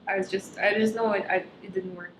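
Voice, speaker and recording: A woman's voice: medium pitch, steady tone, quick pace. A young woman from Kazakhstan whose first language is Russian, chatting casually as a guest and searching for words with frequent ums. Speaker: stand-up comedian, guest. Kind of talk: casual interview chat. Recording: echoey room with background noise.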